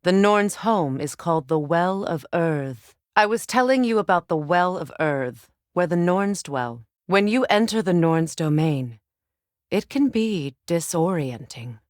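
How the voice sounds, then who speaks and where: Game role: proud Valkyrie queen, lower-pitched, composed and determined, formal delivery, very dry close sound. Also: expressive, unhurried, no echo. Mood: composed, explanatory. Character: proud, determined Norse goddess and Valkyrie queen, grown woman. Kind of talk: scripted video game dialogue.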